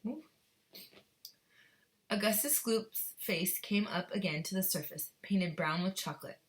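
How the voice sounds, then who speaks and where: A woman's voice: medium pitch, unhurried. A woman teacher reading a children's story aloud expressively, with explanatory asides for young pupils. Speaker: second-grade teacher.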